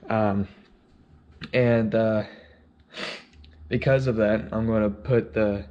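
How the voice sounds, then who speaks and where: A man in his twenties talking casually through a hands-on DIY job, often halting and searching for words. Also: fairly low pitch, steady tone, dull audio. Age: 20s. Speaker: DIY vlogger. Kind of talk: casual how-to narration while working. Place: indoors: vehicle cab in a shed, later a basement room. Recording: clearly noisy camera audio with clicks.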